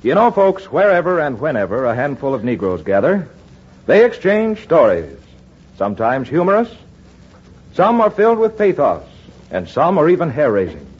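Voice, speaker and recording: A man's voice: fairly high pitch, huge pitch swings, normal speed, husky. A man announcing and introducing a 1940s radio program in a formal, sonorous way. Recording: muffled 1940s radio broadcast.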